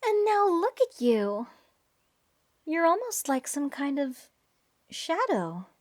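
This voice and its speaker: A woman's voice: high-pitched, big pitch swings, slow pace, full character voice. A woman playing a giddy, unhinged mage who gushes over cursed monsters in a fantasy ASMR roleplay. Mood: gushing, fascinated. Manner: breathy gasps, gushing, rambling, sweetly menacing.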